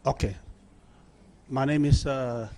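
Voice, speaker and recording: A man with a Cameroonian accent, a journalist putting long, halting questions at a press conference. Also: medium pitch, fairly even intonation, warm tone, a little hiss or hum.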